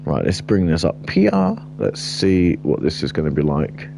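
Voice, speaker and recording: A man with a deep voice giving casual, rambling gameplay commentary while he builds, blunt and chatty. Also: huge pitch swings, a little slow, gravelly, warm tone, a little hiss or hum. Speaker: gaming streamer.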